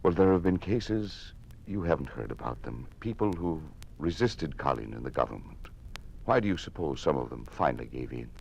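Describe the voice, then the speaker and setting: An actor playing a weary, burdened government official in an old-time radio drama, with a grave, measured delivery. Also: male voice, very deep voice, sing-song, gravelly, slight background noise, heavily acted. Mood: grave, earnest. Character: weary, burdened official resisting a tyrannical regime.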